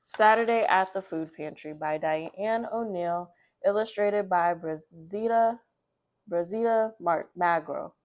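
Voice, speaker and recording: A woman's voice: lowish voice, slow, a little mellow. A woman hosting a children's book read-aloud, chatting conversationally with rambling personal asides.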